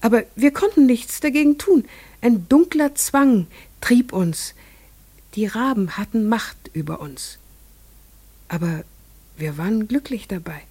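An actress playing a farmer's wife and mother who tells her story in scripted first-person narration for a radio play. She speaks in a dreamy, measured way, hushed in places and rising to rapt wonder. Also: on the high side, lively intonation, slightly rough.

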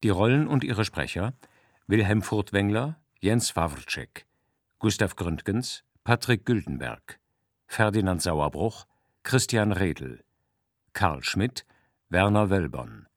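Slow, low-voiced male announcer. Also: no room sound, studio quality. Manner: slow, formal, even. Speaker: credits announcer. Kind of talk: scripted announcement.

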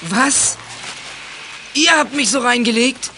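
A male actor playing a sullen, excitable youth in a German children's audio drama. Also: very high voice, takes it slowly, a little hiss or hum, exaggerated voice. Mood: indignant.

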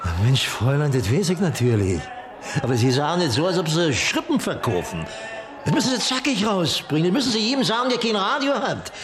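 Blustering, boozy Berlin party man, wildly animated. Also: a little high, brisk. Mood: brash, commanding. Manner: brash, barking, colloquial. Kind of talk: German radio drama acting.

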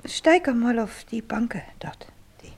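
A bedridden, fretful wife in a radio play, with a high, husky voice that slips into breathy whispers. She laments in a plaintive, morbid way with a Silesian accent. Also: expressive, slow pace, a little mellow, heavily acted. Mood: weakly affectionate. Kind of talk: naturalistic German radio drama acting.

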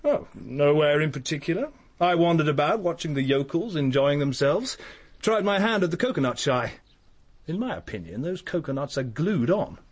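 A man's voice: a little high, big pitch swings, normal speed, breathy edge. A man playing a flippant, snobbish young architect in a radio drama, offhand and nonchalant. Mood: airily offhand. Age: young adult.

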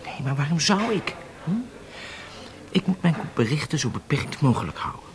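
A man's voice: a little high, very animated, moderate pace, slight background noise. An actor in a Dutch radio drama playing a self-assured, impatient undercover spy, speaking emphatically as if lecturing his handlers. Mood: defensive.

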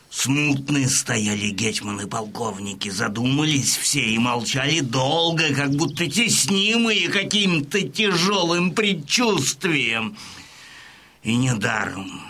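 A man's voice: medium pitch, lively intonation, slow pace, bright, forceful tone, exaggerated voice. An actor playing a sorrowful wounded Cossack who tells his tale solemnly and dramatically in an audiobook drama.

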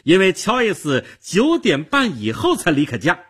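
A storyteller voicing a jealous, indignant woman who makes loud, emphatic accusations in an audiobook-style tale. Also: on the low side, wildly swooping pitch, moderate pace, breathy edge, pitched above the performer's own voice, exaggerated voice.

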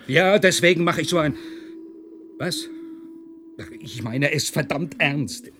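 A man's voice: a little high, expressive, slow pace, background noise. An actor playing a dogged, irritable male investigator who questions a suspect insistently in a German science-fiction radio drama. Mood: suspicious, exasperated.

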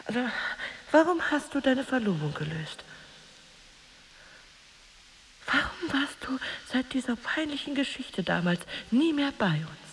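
A bitter, volatile jealous wife. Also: female voice, high-pitched, sing-song, normal speed, slight background noise, rough recording. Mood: suspicious. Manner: emotionally volatile, theatrical, swinging between hushed and outburst. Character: jealous married actress, bitter, taunting, volatile rival. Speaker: actress in a radio drama. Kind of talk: dramatic radio play monologue.

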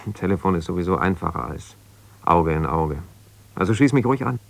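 A man's voice: low voice, animated, brisk, gravelly, full character voice. An actor playing a middle-aged, self-justifying lawyer left by his wife, talking argumentatively and insistently in a naturalistic radio drama. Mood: defensive. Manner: argumentative, insistent, hesitating when conceding. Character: ambitious lawyer, abandoned husband, self-justifying, stubborn, defensive.

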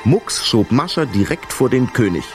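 A man's voice: medium pitch, big pitch swings, normal speed, soft background music. A man narrating a children's story as a scripted storyteller in a radio play. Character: storyteller of a children's mouse fairy tale.

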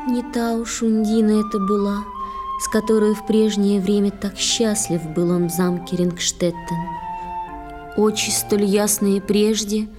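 A woman narrates verse slowly for an audio play. Her medium-pitched voice stays flat and restrained, mournful in tone, with clear flute music underneath.

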